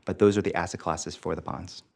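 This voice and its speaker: A man's voice: low voice, steady tone, brisk, creaky, darkish tone. A man presenting investment figures at a committee meeting, explaining them informally and in plain terms.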